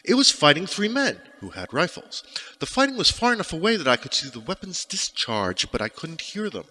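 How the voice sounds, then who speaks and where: A man's voice: on the high side, huge pitch swings, brisk, bright, forceful tone. A man reading his own scripted first-person fiction as an audiobook narrator.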